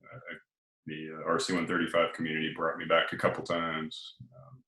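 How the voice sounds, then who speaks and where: A man in his forties speaks as an interview guest in a very low, deep monotone. His delivery is relaxed and hesitant, full of fillers. The sound carries a roomy echo. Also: normal speed. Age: 40s. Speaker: guest, Air Force officer and author. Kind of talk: casual interview chat.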